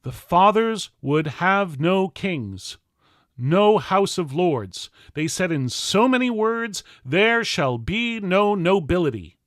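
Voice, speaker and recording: A man playing a 19th-century general, delivering a formal political address with loud, emphatic, solemn oratory. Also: high-pitched, very animated, slow pace, no echo, clean studio sound, pitched above the performer's own voice, full character voice. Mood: fervent, defiant. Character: impassioned 19th-century general orating to the American people. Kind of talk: declamatory political oratory, formal speech reading.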